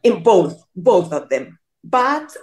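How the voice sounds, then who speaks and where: A woman's voice: medium pitch, sing-song, takes it slowly, a little mellow. A middle-aged woman from Colombia, telling her story in a casual interview and rambling with many restarts and self-deprecating asides, over a video call. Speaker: guest.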